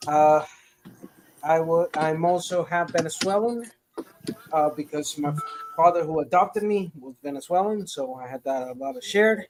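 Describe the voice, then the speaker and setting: A man's voice: a little high, lively intonation, moderate pace, noisy background. A man from Costa Rica, a guest on a livestream, talking casually and hesitantly with many fillers. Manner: rambling, hesitant with many uhs. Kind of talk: casual chat.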